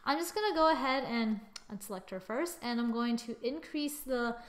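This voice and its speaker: Female tutorial presenter, slightly high voice, informal step-by-step delivery, thinking aloud. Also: expressive, normal speed. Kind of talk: casual software tutorial narration.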